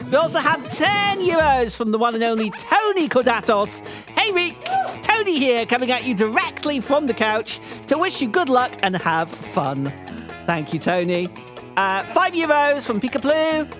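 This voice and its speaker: A woman hosts a live gaming marathon and reads donation messages. Her voice is fairly high and very animated, over clear music. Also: normal speed. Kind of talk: live announcing.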